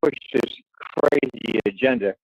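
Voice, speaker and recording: A man's voice: mid-range voice, measured pace, vocal fry. A man calling in to a talk radio show over a phone, speaking bluntly and often repeating words. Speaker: caller. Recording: muffled phone line.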